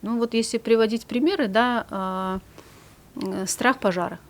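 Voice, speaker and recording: A woman psychologist, a guest in a studio conversation, calmly explaining and trailing off softly at the ends of her phrases. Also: on the high side, moderate pace.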